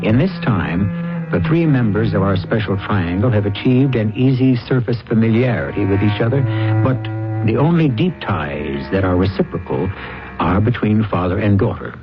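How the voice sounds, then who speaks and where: A man hosting and narrating a radio drama in a deliberate, theatrical style with dramatic pauses. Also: lowish voice, animated, lightly acted. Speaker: host and narrator. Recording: muffled old radio broadcast, loud music.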